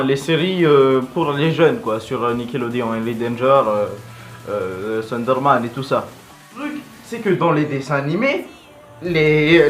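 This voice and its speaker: A man's voice: on the high side, roomy sound. A young man giving casual, slangy video commentary in an informal, digressive way. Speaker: video host.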